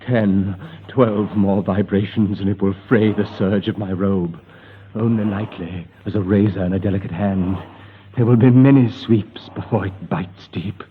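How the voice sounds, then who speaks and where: Man playing a tormented prisoner, slow and dramatic. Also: lowish voice, fairly even intonation, close to an everyday voice. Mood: resigned dread. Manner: dramatic, halting, literary. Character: condemned male captain, tormented prisoner narrating his dread. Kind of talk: old-time radio horror acting. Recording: muffled, noisy old radio recording.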